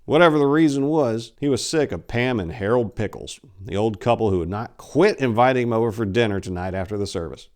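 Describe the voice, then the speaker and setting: A man in his forties reading a story aloud in a theatrical, deliberate, scripted narrating style. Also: medium pitch. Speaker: host, author reading own short story. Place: indoors, book-lined room. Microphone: lapel mic.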